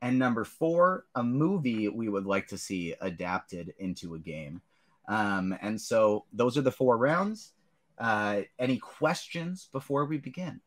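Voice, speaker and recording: A man's voice: lowish voice, expressive, a little slow. A man hosting a casual panel show, talking informally as he runs things. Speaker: podcast host.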